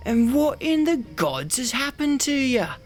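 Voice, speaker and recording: A man's voice: very high-pitched, sing-song, unhurried, a little hiss or hum, exaggerated voice. A man playing a jovial city gate guard in a fantasy ASMR roleplay, with a folksy, teasing manner. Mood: teasing, amused.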